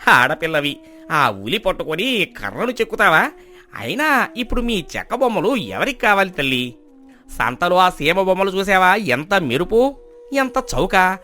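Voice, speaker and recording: A man's voice: sits high, sing-song, moderate pace, sharp tone, full character voice. A man voicing a mocking, badgering village moneylender in a folk tale. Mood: scornful. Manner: scornful, badgering, exclamatory. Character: gruff, mocking male village moneylender demanding repayment. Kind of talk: acted folk-tale dialogue.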